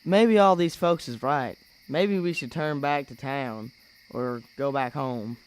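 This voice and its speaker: Young man as a slow, timid little boy. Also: mid-range voice, smooth, close to an everyday voice, low-quality recording. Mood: uneasy. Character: timid young red-haired boy, worried little brother. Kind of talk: amateur radio play acting.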